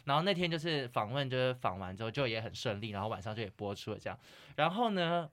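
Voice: mid-range voice, big pitch swings, fast talker, fairly bright tone. A podcast host with a Taiwanese accent telling a comedic anecdote in a casual, giggly, self-mocking way.

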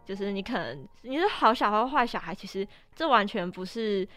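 Young woman chatting over soft music. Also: mid-range voice, animated, brisk. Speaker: podcast co-host. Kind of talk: casual conversational discussion.

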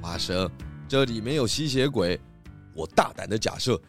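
A man's voice: fairly low pitch, lively intonation, normal speed, loud music behind the voice. A man voicing a confident, clever pig detective with a jovial, explanatory manner in a children's audio drama. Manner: self-assured, jovial, explanatory.

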